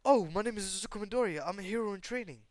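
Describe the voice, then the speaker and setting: A man's voice: sits high, very fast, no echo, pitched above the performer's own voice, natural voice. A man voicing a polite, confused teenage boy who is training as a hero, hesitant and earnest, in an improvised anime story.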